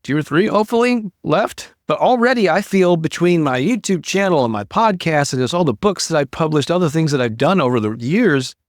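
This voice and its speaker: A man's voice: a little high, animated, brisk, hardly any pauses, dry recording, studio quality. Encouraging older man giving a motivational talk. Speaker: host, motivational creativity coach. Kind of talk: motivational talk to a live online audience.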